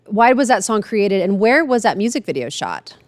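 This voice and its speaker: A woman's voice: medium pitch, very animated, moderate pace, dry recording. A middle-aged woman interviewing a guest for a TV news segment, encouraging and full of compliments. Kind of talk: friendly interview questions.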